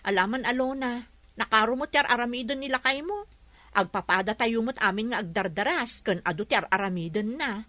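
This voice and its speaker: A woman's voice: a little high, fairly quick, pushed and bright, dry recording. A woman playing an indignant, blunt neighbour who complains insistently in a radio drama. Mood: exasperated.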